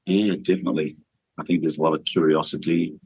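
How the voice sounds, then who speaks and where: A man's voice: extremely low pitch, level tone, quick pace, a little mellow, breathy edge, no echo, lo-fi sound. A man leading a church, speaking earnestly and steadily in a radio interview, with fillers and restarts. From New Zealand. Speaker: church leader.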